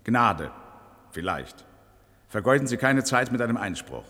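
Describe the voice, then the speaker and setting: An actor in a German radio play playing a resigned, bitter judge who speaks formally and with emphatic, measured delivery. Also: male voice, mid-range voice, very animated, sharp tone, exaggerated voice. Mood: bitter irony. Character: resigned judge, candid and bitter about computerized justice. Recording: reverberant hall sound.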